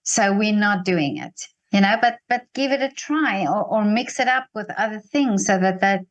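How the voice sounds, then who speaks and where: A woman in her sixties with a South African accent, talking reflectively and haltingly as an interviewer, often breaking off to search for words. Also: mid-range voice, dull audio. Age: 60s. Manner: hesitant, searching for words with many broken-off phrases. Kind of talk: reflective conversation.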